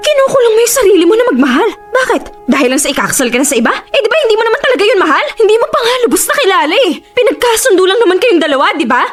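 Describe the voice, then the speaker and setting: A woman's voice: very high-pitched, very animated, rapid-fire, hardly any pauses, faint music behind. An actress playing a heartbroken young woman in love in a Tagalog radio romance, pleading and reproachful with pressing questions. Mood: hurt, confronting. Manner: emotional, pressing rhetorical questions.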